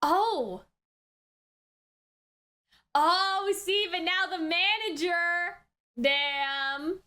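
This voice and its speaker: A woman hosts a casual reaction in a strikingly high-pitched voice. Her delivery is bright and sharp but slow-paced overall. She thinks out loud in excited, slangy exclamations. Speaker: reaction video host. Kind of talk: casual excited reaction commentary on an anime episode.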